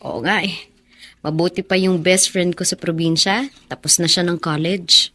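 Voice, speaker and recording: An actress with a Filipino accent playing a poor, orphaned young bar hostess in a radio drama, wistful and soft-hearted. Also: female voice, fairly low pitch, steady tone, normal speed. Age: young adult.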